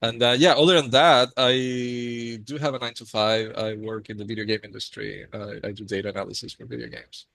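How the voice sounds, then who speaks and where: Man from Chile, podcast guest, bright mid voice, chatty with filler words, dry close mic. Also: mid-range voice, steady tone, fairly quick, creaky, few pauses, no room sound. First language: Spanish. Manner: friendly, frequent ums and uhs. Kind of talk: casual interview storytelling.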